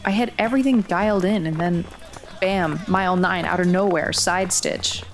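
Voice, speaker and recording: A woman's voice: lowish voice, moderate pace, a little hiss or hum, close to an everyday voice. A woman playing a determined, grieving young runner in an audio drama, wry, guarded and understated. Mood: frustrated, downcast. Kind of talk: audio drama acting, first-person narration and dialogue.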